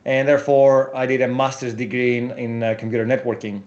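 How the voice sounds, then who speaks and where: A man in his forties whose first language is Greek, a guest telling his career story in a casual interview with animated asides. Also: medium pitch, fairly quick, highs cut off. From Europe.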